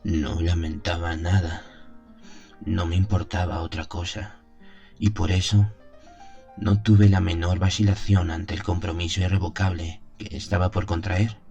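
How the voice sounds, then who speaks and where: A man playing a devout priest who solemnly recalls his innocent youth in a gothic radio drama, in measured, literary phrases. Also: sits low, level tone, dark tone, highs cut off. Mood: solemn, fervent. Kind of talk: dramatized first-person gothic story narration. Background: music.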